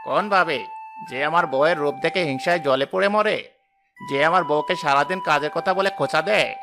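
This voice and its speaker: A man's voice: on the high side, fairly quick, creaky, over music. A man playing a hot-headed, doting younger brother in a melodramatic cartoon, indignant and threatening. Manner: aggressive, indignant. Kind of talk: melodramatic cartoon story dialogue.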